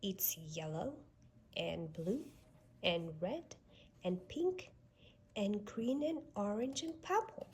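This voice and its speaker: A woman reading a children's storybook aloud with expressive, sing-song delivery aimed at young children. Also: mid-range voice, slow, a little hiss or hum. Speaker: storybook reader.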